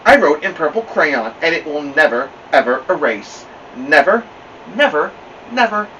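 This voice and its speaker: A man's voice: fairly high pitch, sing-song, moderate pace, pushed and bright, far from the mic, highs cut off, a little hiss or hum, voice pushed up. A man voicing a naughty, stubborn little girl in a storybook, boastful and insistent. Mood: gleefully unashamed.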